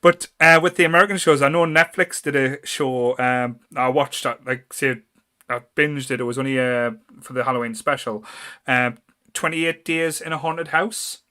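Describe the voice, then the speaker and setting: A man's voice: medium pitch, steady tone, moderate pace, bright, forceful tone, clear, resonant tone. A man with a North East English accent chatting casually as a podcast host, blunt, sweary and jokey.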